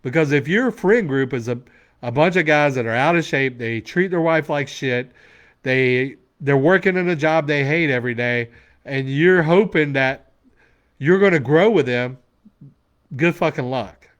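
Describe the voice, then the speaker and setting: A man's voice: fairly high pitch, unhurried. Blunt, cursing man in his forties. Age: 40s. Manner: blunt, profane, emphatic. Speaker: men's coach. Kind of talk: motivational Q&A talk.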